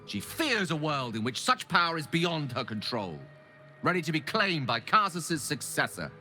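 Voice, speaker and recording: A man's voice: on the high side, sing-song, moderate pace, sharp tone, full character voice. A man playing an eloquent, ambitious and embittered wizard in a video game, speaking with earnest, theatrical and formal articulation. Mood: bitter, indignant. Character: ambitious, eloquent, embittered male wizard pleading his case. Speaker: video game companion character. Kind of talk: dramatic game dialogue acting. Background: background music.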